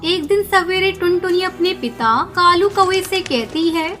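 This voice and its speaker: A woman's voice: extremely high pitch, animated, measured pace, a bit bright. A woman reading scripted narration for a children's cartoon story. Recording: music under the narration.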